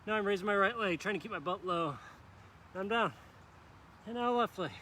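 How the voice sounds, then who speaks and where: Man, fitness instructor, high voice, upbeat encouraging coaching, exercising while talking, outdoor park, faint traffic noise. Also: fairly quick, sharp tone. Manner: upbeat, encouraging, jokes about his own slip-ups; talks while doing the exercises himself. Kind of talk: live workout coaching.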